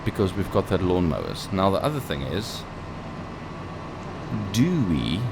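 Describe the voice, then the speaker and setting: A man's voice: low-pitched, huge pitch swings, a little slow, vocal fry, background noise. A man who is not a native English speaker gives casual gameplay commentary as a let's play host, thinking aloud with playful asides.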